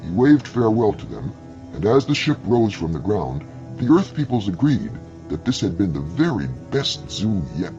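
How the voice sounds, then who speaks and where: A man's voice: lowish voice, very animated, moderate pace. Male narrator, dramatic scripted storytelling, very varied intonation, muffled recording, music underneath.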